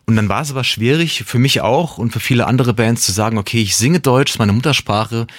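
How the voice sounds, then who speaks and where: A man talking as a singer-songwriter guest in a relaxed interview, thoughtful, searching for his words and laughing easily. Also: medium pitch, fairly quick, no room sound, studio quality.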